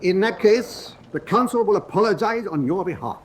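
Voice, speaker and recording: An actor playing a stern, reproving court-martial president in a radio drama, speaking with authority. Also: male voice, high voice, animated, moderate pace, slight background noise.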